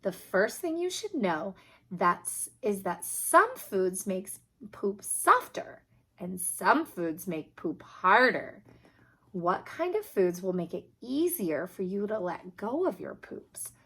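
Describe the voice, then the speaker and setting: A woman's voice: mid-range voice, very animated, takes it slowly, a bit bright, breathy edge, exaggerated voice. A woman voicing a kindly children's doctor in a picture book, explaining things patiently and encouragingly. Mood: reassuring.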